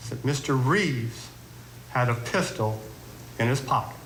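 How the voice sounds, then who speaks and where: A man's voice: mid-range voice, animated, measured pace, fairly bright tone, slight background noise, rough recording. A man giving a courtroom argument as a lawyer.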